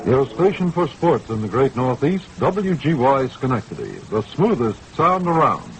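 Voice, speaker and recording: Lively American radio station announcer, man. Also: medium pitch, normal speed. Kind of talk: 1960s radio station identification. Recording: noisy vintage AM radio recording.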